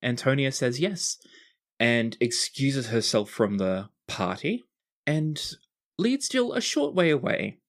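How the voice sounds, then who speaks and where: A man's voice: medium pitch, very animated, slow. A man narrating a tabletop roleplaying game as game master, describing scenes in a conversational way.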